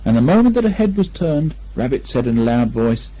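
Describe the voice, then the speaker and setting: A man's voice: mid-range voice, sing-song, brisk, warm tone. A man narrating a children's storybook from a script. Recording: lo-fi amateur 1980 speech recording.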